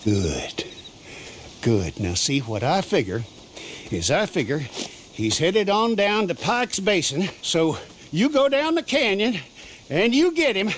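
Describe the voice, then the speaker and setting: A man's voice: high voice, big pitch swings, takes it slowly, slight background noise, exaggerated voice. An actor playing an exasperated, bitter Old West lawman with an American accent and a scornful, sarcastic manner.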